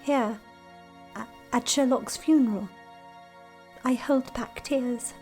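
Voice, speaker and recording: An actress playing a young Victorian bride-to-be in a radio drama, earnest and emotional, concealing grief and love. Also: female voice, on the high side, takes it slowly, a little mellow, soft background music. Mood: wistful, secretive.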